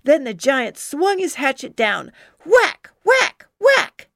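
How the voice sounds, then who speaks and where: A woman's voice: sits high, drawn-out words, gravelly, no room sound, clean studio sound, voice pushed up, exaggerated voice. Woman doing a feisty little girl. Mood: spirited. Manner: excited and emphatic. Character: bold young girl storyteller, defiant.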